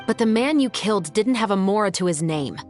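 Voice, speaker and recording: A woman's voice: medium pitch, animated, a little fast, a little mellow, close to an everyday voice. A woman voicing a serious, dutiful police captain in a video game, questioning with formal, restrained firmness. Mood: skeptical. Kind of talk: dramatic video game voice acting. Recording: music behind the voice.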